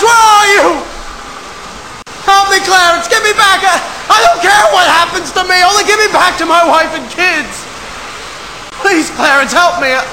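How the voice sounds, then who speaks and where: A man playing a desperate family man who stammers, pleads and shouts, later overjoyed. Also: very high-pitched, noisy background, exaggerated voice. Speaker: lead actor. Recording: echoey, music playing behind.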